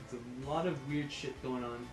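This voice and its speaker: A man's voice: mid-range voice, steady tone, moderate pace. A man in his twenties co-hosting a podcast, chatting casually with plenty of slang and swearing. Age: 20s. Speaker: podcast co-host. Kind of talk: casual chat about films and pop culture. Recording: echoey room, music behind. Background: music.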